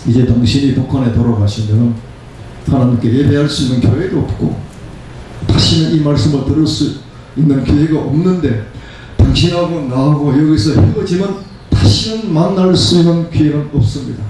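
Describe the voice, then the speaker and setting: Man in his seventies, pastor, emphatic sermon delivery, repeated phrases, mellow tone, heavily reverberant room. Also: a little high, normal speed. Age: 70s. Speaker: pastor preaching. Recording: very echoey room, distant mic, faint noise.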